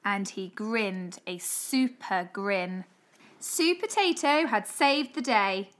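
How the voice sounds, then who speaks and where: A woman reading a children's storybook as an expressive storyteller. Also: mid-range voice, takes it slowly. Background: music.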